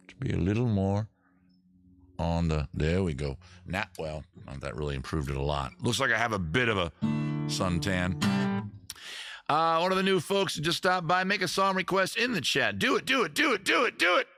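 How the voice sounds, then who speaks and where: A man's voice: fairly low pitch, big pitch swings, normal speed, gravelly, bright, forceful tone. A man hosting a live stream, chatting casually between songs in a rambling, jokey, self-mocking way, a little tipsy from drinking. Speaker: live-stream host and singer. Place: room at home. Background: faint guitar.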